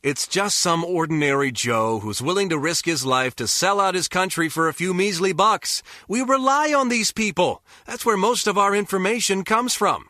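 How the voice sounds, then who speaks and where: A man's voice: sits high, lively intonation, normal speed, few pauses, pushed and bright, no room sound, clean studio sound. A man voicing a cynical, greedy American turncoat spy in a video game, mocking and slangy. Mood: cynical, self-justifying. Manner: sardonic, slangy.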